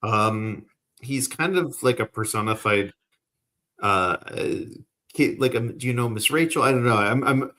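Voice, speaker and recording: A man with a mid-pitched, plain voice, chatting casually. He answers in short bits and teases his partner now and then. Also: a little slow, bright, forceful tone. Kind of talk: casual chat.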